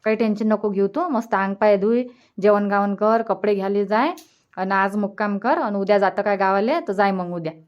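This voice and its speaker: A woman's voice: medium pitch, fairly quick, lo-fi sound, natural voice. A woman playing a generous, practical village mother in a cartoon comedy, insistently reassuring in a Varhadi Marathi accent. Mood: insistent, comforting. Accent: Varhadi Marathi of Vidarbha. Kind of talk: dialect cartoon comedy dialogue.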